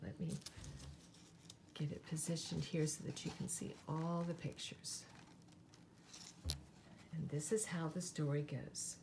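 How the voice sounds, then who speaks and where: A woman reading a picture book aloud to children in an expressive, dramatic way. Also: deep voice, measured pace, long pauses, background noise. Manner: animated, dramatic, with asides to listeners.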